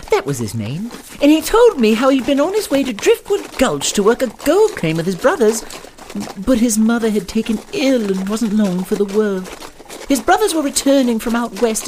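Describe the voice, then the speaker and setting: A man's voice: very high-pitched, big pitch swings, moderate pace, darkish tone, a little hiss or hum. A man playing a naive, well-spoken dandy fish from New York in a comic western audio drama, with wordy, formal diction. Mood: eager, earnest.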